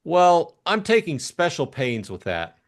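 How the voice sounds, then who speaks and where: A man voicing a breezy, eager young upper-class gentleman in an audiobook mystery, with chummy, exclamatory, slangy talk. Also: a little high, huge pitch swings, unhurried, pitched above the performer's own voice, exaggerated voice. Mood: triumphant, eager.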